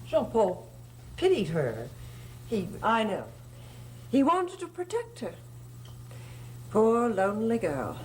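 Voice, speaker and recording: A woman's voice: on the high side, huge pitch swings, slow, pauses often, a little hiss or hum, heavily acted. An actress in a gothic soap opera playing a sinister woman who feigns devotion in an insinuating, theatrical way. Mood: coaxing, insinuating. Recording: loud dramatic music under the dialogue.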